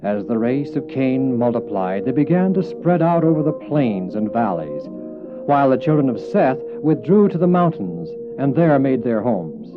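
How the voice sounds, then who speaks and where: A man's voice: medium pitch, big pitch swings, normal speed. A man narrating a Bible drama in a solemn, formal, scripted style. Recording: dull old cassette, music underneath.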